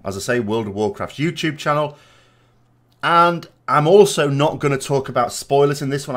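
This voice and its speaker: A man with a mid-range voice talks as the host of a gaming channel. His commentary is casual and podcast-like, wandering through asides in a conversational way. He stresses his opinions firmly. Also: mid-range voice. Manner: rambling, digressive, conversational.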